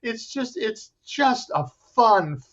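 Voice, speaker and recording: A man's voice: very high-pitched, expressive, slow, fairly bright tone. A man giving an enthusiastic, descriptive interview as a theater director promoting a show. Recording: dull, muffled lo-fi sound.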